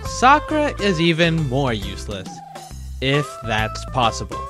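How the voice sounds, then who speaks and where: A man's voice: mid-range voice, huge pitch swings, a little slow. A man giving casual, wry review commentary in a conversational way, with rhetorical asides. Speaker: host of a countdown review video. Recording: music behind the voice. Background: guitar music.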